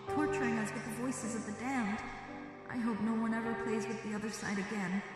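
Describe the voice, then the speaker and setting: A woman playing a solemn, remorseful ghost in a horror audio drama, speaking gravely and urgently. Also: a little high, natural voice. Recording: very echoey long reverb, music bed.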